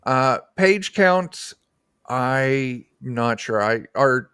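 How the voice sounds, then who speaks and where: A man speaking as a game designer in a casual interview over a video call, pausing to search for words. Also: medium pitch, expressive, fairly bright tone, clean tone, no room sound, studio quality.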